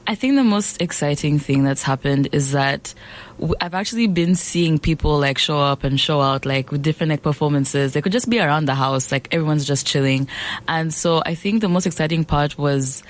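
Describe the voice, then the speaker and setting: A young woman, a guest on a radio show, speaking in a relaxed way with lots of fillers. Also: sits low, moderate pace. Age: young adult. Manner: relaxed, filler-heavy speech. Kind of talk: casual radio interview.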